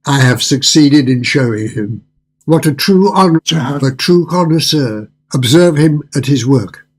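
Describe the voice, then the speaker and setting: An older man playing a suave, controlling restaurant owner in a radio drama, with formal, theatrical politeness and a husky voice. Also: fairly high pitch, lively intonation, a little slow. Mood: smooth, courtly.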